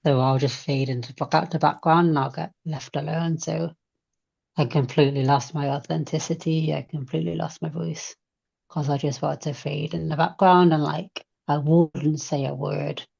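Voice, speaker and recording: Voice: medium pitch, flat delivery, moderate pace, gravelly, a little mellow, husky. A person chatting casually and candidly about their own feelings, in a reflective, conversational way. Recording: dull, lo-fi sound.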